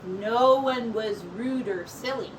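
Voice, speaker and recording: A woman teacher reading a picture book aloud to young children in an expressive, encouraging way. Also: on the high side, takes it slowly. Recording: distant mic with clear background noise.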